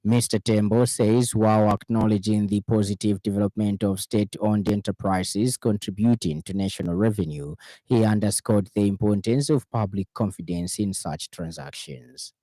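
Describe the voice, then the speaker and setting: A male radio news anchor with a Zambian accent reads scripted bulletins in a formal, measured way. His voice sits low and has a dark, mellow tone. The sound is dry and close. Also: dry recording. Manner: measured, formal newsreader delivery.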